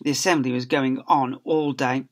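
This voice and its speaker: A man's voice: mid-range voice, fairly even intonation, moderate pace. A man, reading a children's storybook aloud as a teacher. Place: small school office, indoors. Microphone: laptop or webcam at desk distance.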